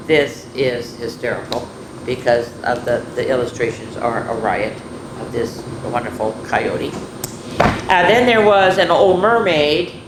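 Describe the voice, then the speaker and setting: A woman hosting a children's story time, reading picture books aloud in a playful, chatty way with rambling asides to her viewers. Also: extremely low pitch. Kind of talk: informal picture-book read-aloud and show-and-tell to children. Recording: echoey room with clear background noise.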